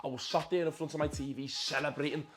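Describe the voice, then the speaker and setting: A young man, a bit hoarse, giving an excitable, sweary casual recap of the football week with dry asides. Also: fairly high pitch, quick pace. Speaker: football vlogger and fan.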